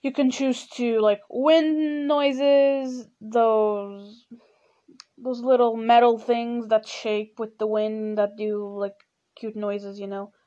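Sleepy portuguese teen girl just chatting, kinda high voice, talks real slow, lots of "you know". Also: flat delivery, dark tone, lo-fi sound. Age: teens. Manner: rambling, full of "you know" and "like". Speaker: podcast host. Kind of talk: casual personal chat.

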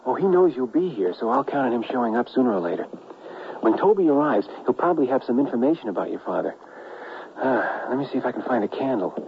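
Hesitant, tinny-sounding western gentleman. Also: medium pitch, big pitch swings, fast, slight background noise. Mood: reassuring. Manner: hesitant, stammering. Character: nervous, kindly gentleman in fine clothes, Mister Sweeter. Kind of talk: 1970s western radio drama acting. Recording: thin vintage radio broadcast, music behind.

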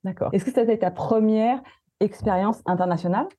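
A woman's voice: mid-range voice, fast, dark tone, no room sound, clean studio sound. A woman hosting a casual business interview, chatty and full of hesitations. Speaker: podcast host. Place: in Australia.

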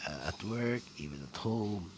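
A man's voice: on the low side, animated, a little slow, background noise. A Filipino man whose first language is Tagalog, hosting a solo podcast in English, rambling with many fillers and restarts. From Philippines. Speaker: podcast host.

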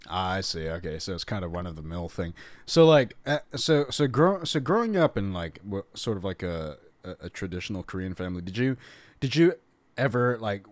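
A man's voice: low voice, sing-song, a little fast, no echo. A man hosting a casual podcast interview, rambling with frequent restarts and laughter. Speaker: podcast host.

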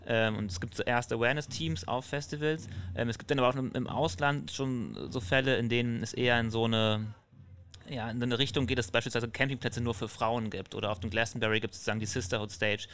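A man's voice: medium pitch, a bit bright, slight background noise. A man hosting a casual discussion, asking questions in a hesitant way with many fillers and restarts. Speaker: host.

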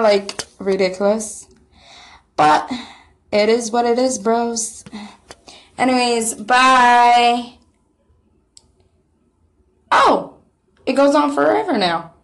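A woman vlogger chatting casually and self-deprecatingly to her viewers, full of fillers. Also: fairly high pitch. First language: not Korean. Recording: echoey room.

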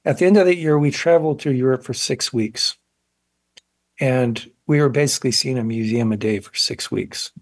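A man's voice: mid-range voice, no room sound. Thoughtful man in his sixties, dry sound. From grew up in South Korea as child of missionaries. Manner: thoughtful, halting, searching for words. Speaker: guest, painter. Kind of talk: reflective interview conversation.

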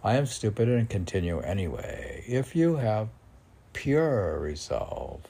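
A man's voice: on the low side, big pitch swings, a little slow, gravelly, dark tone, slightly rough. A man reading his own poems aloud as a poet, with declamatory, emphatic delivery.

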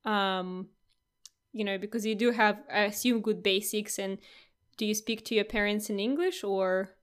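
A woman's voice: mid-range voice, normal speed, smooth. A young woman with a Russian accent that has picked up Australian vowels chats casually. She talks in loose, looping sentences, doubling back on words and laughing lightly between thoughts. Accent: Russian, mixed with Australian. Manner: chatty, often restarts and laughs between thoughts. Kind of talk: casual chat.

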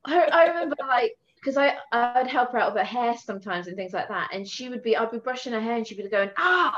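A woman's voice: on the high side, animated, fast talker, a bit bright, highs cut off. A woman who works as a tattoo artist, chatting casually as a guest, telling stories, swearing and laughing. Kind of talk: casual chat.

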